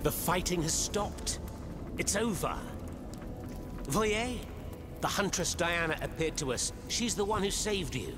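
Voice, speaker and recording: An earnest, animated soldier's comrade. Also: male voice, high voice, unhurried, long pauses. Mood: relieved, urgent. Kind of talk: dramatic video game cutscene acting. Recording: game music behind the voice.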